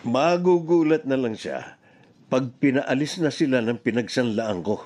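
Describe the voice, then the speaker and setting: A man's voice: mid-range voice, expressive, measured pace, darkish tone. A man with a Filipino accent playing a selfish, scheming, defiant middle-aged father in a radio drama. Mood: gloating, scheming.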